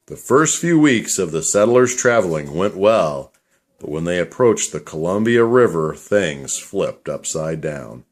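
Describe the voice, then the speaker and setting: A man's voice: low voice, very animated, measured pace. A man reading scripted storybook narration for a puppet show.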